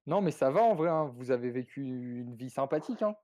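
A man's voice: mid-range voice, expressive, brisk, warm tone, no echo. A man hosting a podcast in casual chat, joking, teasing his guest and talking to the listeners. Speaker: podcast host.

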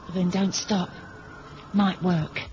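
An actress playing a calm, practical secret agent in a 1970s radio drama, matter-of-fact and a little wry. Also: female voice, fairly low pitch, slow pace. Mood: uneasy, wry. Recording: muffled, faintly noisy old radio recording.

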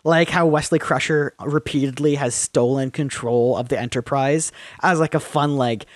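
A young man co-hosting a podcast, chatting casually, rambling, swearing freely and getting animated in bursts. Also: medium pitch, moderate pace, no room sound, studio quality. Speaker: podcast co-host. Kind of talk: casual chat.

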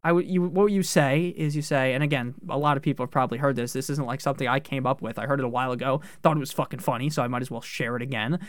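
A man hosting a fantasy football podcast, talking casually with profane, joking asides and sudden jumps in pitch on key words. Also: fairly even intonation, racing, few pauses, no room sound, clean studio sound.

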